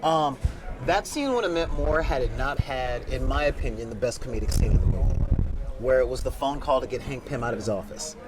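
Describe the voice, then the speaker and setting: A man's voice: mid-range voice, fairly quick, over loud music, poor audio. A man talking casually on a convention panel, laughing a lot and slipping into comic voices. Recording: crowd noise, wind on mic.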